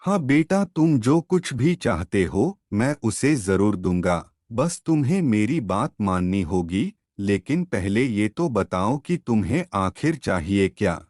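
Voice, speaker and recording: A wise Indian holy man from a cartoon fable , acted by a man, low in pitch with big swings of intonation. He delivers fatherly, mysterious pronouncements slowly and with emphasis. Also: big pitch swings, a little mellow, no echo, clean studio sound. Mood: assuring. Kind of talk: animated moral story character acting.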